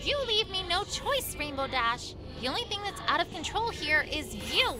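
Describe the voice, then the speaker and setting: Woman doing exasperated pony wizard girl, really high animated voice, insistent, audio drama w loud music. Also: normal speed. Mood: stern. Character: exasperated female magic-wielding pony on a spaceship.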